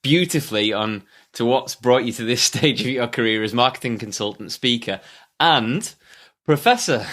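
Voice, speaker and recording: A man's voice: medium pitch, expressive, moderate pace, fairly bright tone. Upbeat British male podcast host. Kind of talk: podcast intro and interview.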